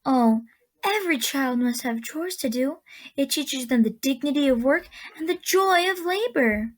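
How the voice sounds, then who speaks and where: A woman playing a kindly old woman of a magical land in a radio drama, speaking with gentle, genteel endearments. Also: sits high, lively intonation, measured pace. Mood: warm, busy.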